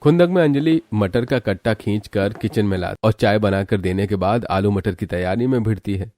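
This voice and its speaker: A man's voice: lowish voice, moderate pace, warm tone, no echo, studio quality. A man narrating a scripted family cartoon story. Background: background music.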